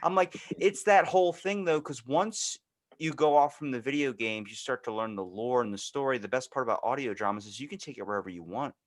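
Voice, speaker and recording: A man's voice: medium pitch, fairly quick. Casual, sweary male podcast host. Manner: animated anecdote telling, swears casually.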